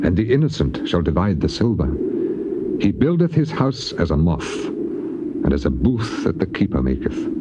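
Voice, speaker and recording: Defiant suffering patriarch, grave declamation, very low voice, drawn-out endings, dramatized scripture, muffled hissy cassette. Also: male voice. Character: suffering, defiant biblical patriarch Job, solemn and impassioned. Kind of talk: dramatized scripture reading, classical stage acting. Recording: noisy, muffled old cassette tape.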